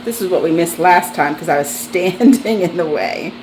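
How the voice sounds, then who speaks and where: A woman's voice: fairly low pitch, normal speed, darkish tone, low-quality recording. New england lady chatting while fixing up a house, faint noise behind. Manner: chatty, laughs easily. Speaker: co-host renovating a house. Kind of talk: casual chat while working. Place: old house under renovation, indoors.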